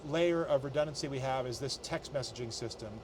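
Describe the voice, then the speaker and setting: A man's voice: medium pitch, brisk, slight background noise. A man with an American accent, an airline captain, explaining procedures in an interview. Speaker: airline captain overseeing pilot training. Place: flight training center, partly inside simulator.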